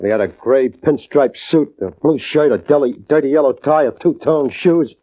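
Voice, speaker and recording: An actor playing a rattled, beaten-up man in a 1950s radio drama, speaking haltingly in a husky voice. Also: mid-range voice, lightly acted. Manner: halting, hesitant. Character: beaten-up, rattled man describing his attacker. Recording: muffled old radio broadcast.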